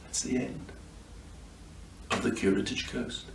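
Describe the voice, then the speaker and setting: A man reading a children's story aloud in an expressive, dramatic manner, often letting the ends of phrases drop away. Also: unhurried, noisy background. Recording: roomy echo, distant microphone.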